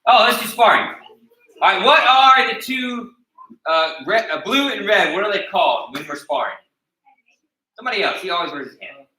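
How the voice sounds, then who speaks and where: A man's voice: high voice, animated, normal speed, long pauses, sharp tone. An American man teaching a taekwondo class, explaining and checking in with his students after every point. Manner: instructive, checks in with "okay?" after each point. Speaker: taekwondo instructor. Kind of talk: teaching a martial arts class. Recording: echoey room recorded from a distance.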